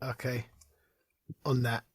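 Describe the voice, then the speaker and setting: A man co-hosting a podcast in casual chat, excitable, laughing often and tripping over repeated words. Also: mid-range voice, slow pace, breathy edge, no room sound, studio quality. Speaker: podcast co-host.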